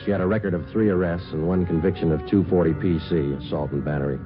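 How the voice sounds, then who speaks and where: An actor playing a terse, matter-of-fact police sergeant who also narrates, in the clipped style of 1950s radio drama. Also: male voice, sits low, steady tone, fast talker, lightly acted. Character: terse, businesslike male police sergeant, a detective. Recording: dull vintage radio recording with music.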